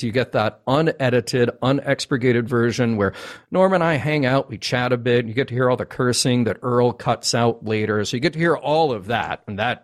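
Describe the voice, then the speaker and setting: A chatty man with a mid-range voice hosts a live fan show. He speaks informally and with enthusiasm, as if addressing regular listeners. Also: mid-range voice. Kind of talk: casual live podcast hosting.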